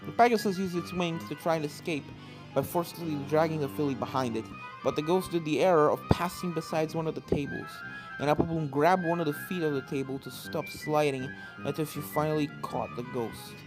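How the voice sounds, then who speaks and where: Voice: medium pitch, fairly quick, poor audio. A narrator reading fan fiction aloud as dramatic scripted story narration. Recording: game-style music behind the voice.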